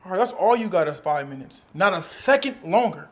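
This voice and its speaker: A man's voice: high-pitched, expressive. A man playing a sassy, dramatic best friend who feels betrayed, in a comedy skit. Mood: teasing. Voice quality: sassy, theatrical, drawn-out delivery.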